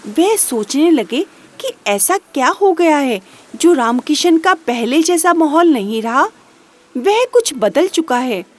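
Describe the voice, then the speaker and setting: High-voiced, slow-paced woman storyteller. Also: big pitch swings. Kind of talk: scripted children's moral story narration.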